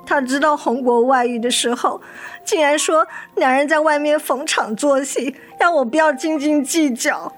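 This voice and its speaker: Actress as an anguished mother, high-voiced, plaintive. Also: moderate pace, faint music behind, close to an everyday voice. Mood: sorrowful. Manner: emotional, plaintive. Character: anguished, devoted young mother of sick child. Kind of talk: Mandarin radio drama acting.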